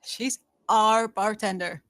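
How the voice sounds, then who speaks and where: A woman's voice: medium pitch, steady tone, slow, fairly bright tone, dry recording. A woman playing a troubled, guilt-ridden young woman who is earnest and determined, in an informal screenplay table read. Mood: disapproving.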